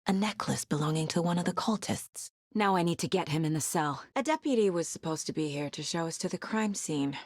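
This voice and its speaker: Serious fbi agent lady from a horror game, bit husky, super dry studio sound, focused and kinda talking to herself. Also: fairly low pitch, animated, fairly quick, hardly any pauses, dry recording. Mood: focused, investigative. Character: determined, level-headed female FBI agent investigating supernatural horror. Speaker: video game voice actress. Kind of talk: dramatic video game voice lines.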